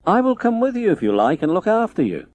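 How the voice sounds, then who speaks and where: A man voicing a big, gentle, sad and protective talking wolf in a children's audiobook, solemn and courteous. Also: high voice, huge pitch swings, fast talker, voice pushed up. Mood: solemn concern. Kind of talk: children's audiobook character acting. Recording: faint noise, old digitized audiobook recording.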